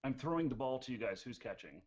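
Joking streamer guy in his 40s hosting a dev q&a, middle pitch, dull lo-fi stream sound. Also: lively intonation, fast talker. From United States. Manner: chatty, joking, many fillers. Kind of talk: casual live-stream chat.

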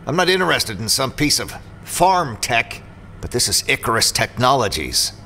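A blunt, lively mercenary in reverb. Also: male voice, normal speed. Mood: defiant. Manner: blunt, impatient. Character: gruff, hot-headed, suspicious male mercenary robot pilot. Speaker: actor in an audio drama. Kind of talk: audio drama acting. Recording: long reverb, rumbling vehicle noise behind.

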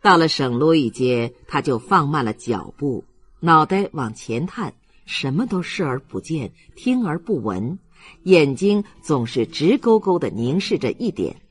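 Expressive, unhurried male audiobook narrator. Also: on the high side, slightly rough. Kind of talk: expressive scripted literary narration.

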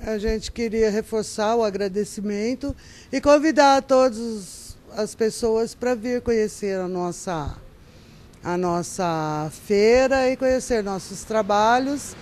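A woman's voice: fairly high pitch, measured pace. A middle-aged woman artisan, interviewed for TV news at an indoor craft fair on a handheld mic, talking in a chatty, playful way. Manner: chatty, playful, animated when quoting herself. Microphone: handheld reporter mic.

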